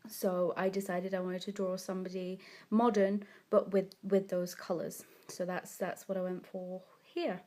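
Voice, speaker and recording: A woman's voice: fairly low pitch, little pitch movement, normal speed, warm tone. Woman vlogging her drawing, bit sniffly w a cold, rambly and chatty. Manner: rambling, self-correcting, chatty. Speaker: art vlogger. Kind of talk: casual chat over a drawing.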